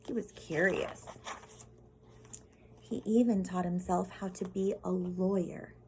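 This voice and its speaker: A woman's voice: on the low side, fairly even intonation, slow, mellow, a little hiss or hum, rough recording. An American woman reads a picture book aloud as a teacher, explaining simply and putting questions directly to children. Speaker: teacher reading to pupils.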